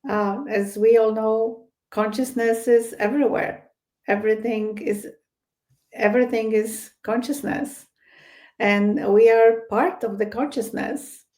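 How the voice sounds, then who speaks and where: A woman's voice: fairly high pitch, steady tone, measured pace, a little mellow. Polish-accented woman telling her story. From Poland. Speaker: guest psychic medium. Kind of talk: podcast interview, personal storytelling.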